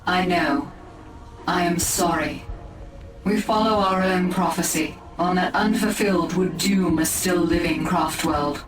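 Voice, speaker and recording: A woman voicing a solemn, regal alien seer leader in a game, formal and measured. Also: fairly low pitch, close to an everyday voice. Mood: regretful, solemn. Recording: echoey, distant, music behind.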